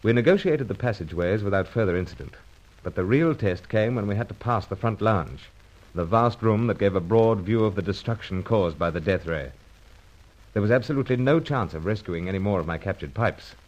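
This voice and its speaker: An actor playing a gentlemanly British secret agent in an old radio adventure serial, clipped and commanding. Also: male voice, lowish voice, expressive, a little fast, dull audio. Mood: tense narration. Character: calm heroic British secret agent, leader and narrator.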